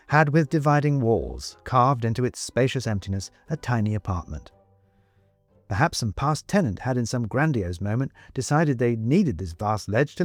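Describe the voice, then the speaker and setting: A British man reading a scripted story as narrator, measured, wry and emphatic in his delivery. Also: on the low side, a little mellow, no echo. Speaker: audiobook narrator.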